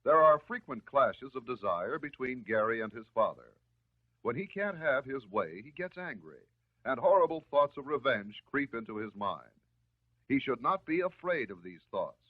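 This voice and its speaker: An American man delivering scripted narration in the style of a 1950s educational film, measured, instructive and reassuring. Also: medium pitch, very animated. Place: voice-over recorded for film. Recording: muffled, narrow-band 1950s film soundtrack.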